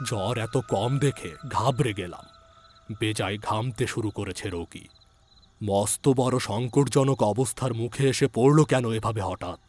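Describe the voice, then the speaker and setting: A man acting a village healer telling his own ghost story in a low voice. He goes very slowly and dramatically, letting phrases drop and then pressing on the uneasy questions. Music plays clearly behind him. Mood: suspenseful, uneasy. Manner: measured, suspenseful delivery with hushed drops and emphatic questions. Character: male village physician recounting an eerie ghostly encounter. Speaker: narrator. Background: background music.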